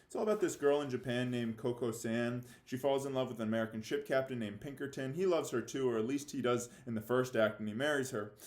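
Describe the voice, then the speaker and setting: A man speaking as a fifth-grade teacher giving a read-aloud lesson, halting and theatrically mock-serious in his delivery. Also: mid-range voice, a little mellow. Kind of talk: read-aloud lesson for children, reading a novel with commentary. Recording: echoey room.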